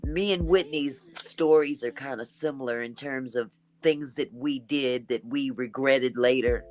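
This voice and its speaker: A woman with a deep, raspy voice talking in a relaxed, chatty way as a guest in a casual conversation. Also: a little slow. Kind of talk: casual chat.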